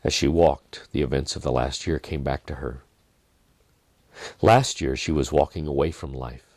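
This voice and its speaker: A man reading a dramatic story in a measured manner, with deliberate pauses and softened phrases. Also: extremely low pitch, no echo. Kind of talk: dramatic scripted narration.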